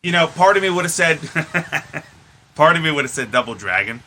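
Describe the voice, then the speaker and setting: A man's voice: on the high side, expressive, normal speed, bright, forceful tone, slight background noise. A man co-hosting a podcast in casual chat about films, speaking emphatically and swearing freely. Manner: emphatic, profane. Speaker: podcast co-host.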